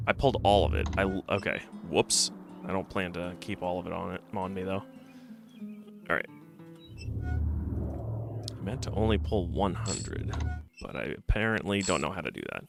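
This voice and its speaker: A man's voice: deep voice, sing-song, normal speed, gravelly, pauses often. A man chatting casually while playing a game on stream, slightly stuffy from a cold and rambling as he thinks aloud. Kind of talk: casual let's-play commentary. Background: game music.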